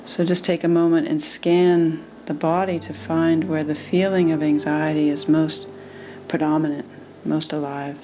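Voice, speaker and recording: A woman meditation teacher leading a guided meditation in a soothing manner, with gentle pauses between phrases. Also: sits low, little pitch movement, a little hiss or hum.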